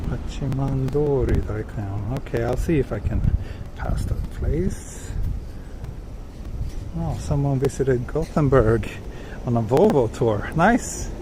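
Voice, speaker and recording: A Swedish man hosting a live walking stream outdoors, reading viewer comments and answering them in a soft-spoken, hesitant way with many fillers. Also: medium pitch, slow. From Sweden. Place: outdoors on quiet city streets, walking. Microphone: handheld gimbal camera with small recorder. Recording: street and traffic noise behind.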